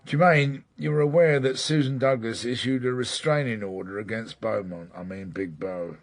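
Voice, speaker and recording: An actor playing a middle-aged British detective sergeant, unflappable and fatherly, with a measured, dry, polite delivery in radio drama style. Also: mid-range voice, close to an everyday voice. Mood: formal, courteous. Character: senior police detective sergeant, unflappable, dryly courteous, fatherly mentor. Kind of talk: naturalistic radio drama acting.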